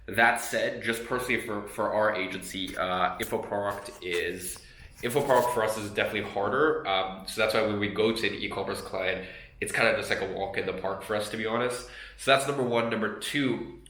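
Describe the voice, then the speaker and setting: A man's voice: fairly low pitch, bright, forceful tone. A young man with a cold and a nasal voice, talking casually to camera about his agency with lots of fillers and restarts. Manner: conversational, many fillers and restarts. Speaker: agency owner and online business educator. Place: room at a desk with an editing screen. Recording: echoey room.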